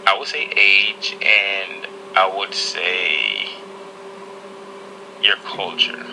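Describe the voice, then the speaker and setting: A man's voice: low voice, very animated, very slow, creaky, background noise. A man answering interview questions in an emphatic way, listing his points one after another.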